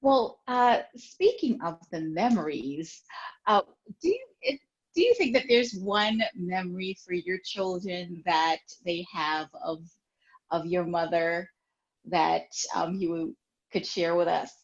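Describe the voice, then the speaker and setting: A woman hosting a children's reading show, interviewing an author in a gentle, encouraging way. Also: lowish voice, lively intonation, slow. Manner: gentle, encouraging, with many fillers. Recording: dull lo-fi sound.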